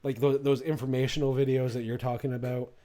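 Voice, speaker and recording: A man in a casual chat, speaking haltingly and leaning on filler words. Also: medium pitch, level tone, warm tone.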